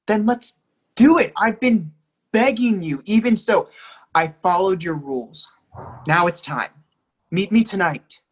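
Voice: mid-range voice, expressive, a little slow, long pauses, breathy edge. An actor playing a lovestruck, pleading young partner, hurt and insistent, in an emphatic readers theatre reading. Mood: urgent pleading. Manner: emphatic, imploring.

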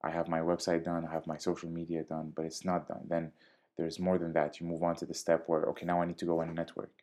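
A young man from Syria, a DJ speaking in a podcast interview with a measured, articulate and diplomatic manner. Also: deep voice, monotone, warm tone. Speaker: DJ and guest.

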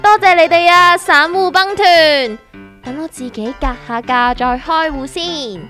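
Woman acting as high-pitched, cheeky novice investor. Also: measured pace, bright, forceful tone, faint music behind. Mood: eager. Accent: Hong Kong. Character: cheeky, curious novice investor, sceptical and exclamatory. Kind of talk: Cantonese comic radio drama dialogue.

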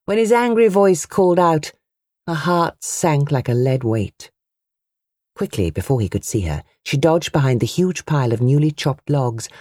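Woman narrating an audiobook, really lively pitch, very dry close sound. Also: no room sound. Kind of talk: dramatic scripted narration of a novel.

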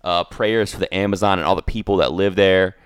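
A man co-hosting a podcast, joking in a deadpan, absurd way with lots of "dude" and "bro", talking into a studio mic with headphones on. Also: low-pitched, a little fast, clean tone, no room sound. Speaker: podcast co-host. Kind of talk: joking casual banter. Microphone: studio mic, wearing headphones.

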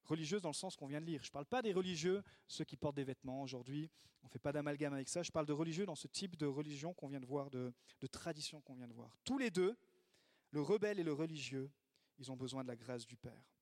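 High-voiced animated male preacher, dry sound. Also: fast talker, dry recording. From Italy. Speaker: pastor. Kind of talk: sermon.

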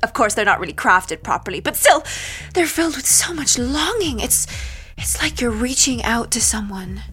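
A woman's voice: fairly high pitch, very animated, moderate pace, a bit bright, faint music behind. A woman playing a nervous, gushing, affectionate poet in a drama, fluttery and sighing. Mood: nervous, tender.

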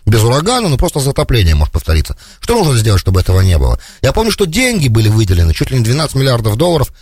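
Quick, lively male radio host. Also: mid-range voice, breathy edge, no room sound. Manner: quick, probing interviewer. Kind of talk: radio interview questions.

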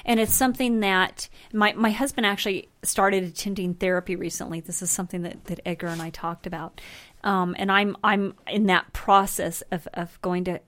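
A woman's voice: mid-range voice, steady tone, moderate pace, fairly bright tone, no room sound. A middle-aged woman from Colorado, a podcast guest, chatting loosely and candidly after a drink. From Colorado, USA. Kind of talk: casual chat.

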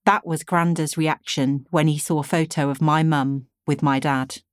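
A woman's voice: low-pitched, fairly even intonation, normal speed, clean tone, no room sound, studio quality. A woman in her forties reading her own memoir as a scripted audiobook narration. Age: 40s.

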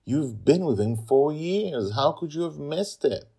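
A man's voice: lively intonation, unhurried, creaky, warm tone, husky, exaggerated voice. A man doing a grim urgent brother. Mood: accusing. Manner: low, urgent, insistent. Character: tense adult man, grim and protective brother.

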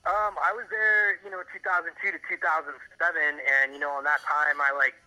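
A man's voice: on the high side, steady tone, a little fast, pushed and bright. An American man from Miami chatting casually on a phone call, rambling through old stories in slangy, profane language. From Miami, USA. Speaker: interview guest on a phone call. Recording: tinny phone line.

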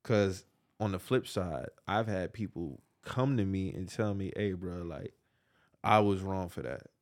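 A man's voice: sits low, measured pace. A man, talking in casual podcast chat. He speaks emphatically and keeps checking in with his listener. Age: 30s. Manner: emphatic, repeats "you get what I'm saying". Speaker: podcast co-host.

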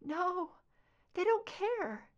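A woman's voice: lively intonation, measured pace, a bit bright, lo-fi sound. A woman voicing a terrified female agent under hypnosis, crying out in pain in a strained voice. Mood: anguished. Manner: pained, high, crying out.

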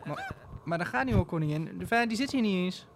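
A man plays a humble country farmer in a comic audio play. He talks quickly in a folksy, hesitant way, with busy farmyard honking behind him. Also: sits high, animated, vocal fry, crowd noise. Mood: protesting. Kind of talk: comedic audio drama acting.

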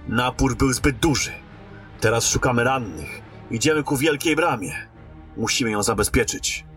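A man's voice: mid-range voice, moderate pace, a bit bright, faint music behind, lightly acted. A man playing a dutiful, cautious knight captain who speaks formally in a fantasy radio drama. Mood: grave, watchful.

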